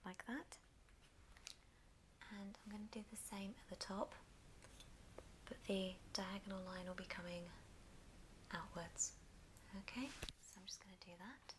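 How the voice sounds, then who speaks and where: Slow whispering woman over noticeable noise. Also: medium pitch, fairly even intonation. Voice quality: breathy unvoiced whisper. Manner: slow, gentle step-by-step instruction. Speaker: ASMR craft host giving a tutorial. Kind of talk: whispered ASMR craft tutorial. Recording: noisy background, handling clicks.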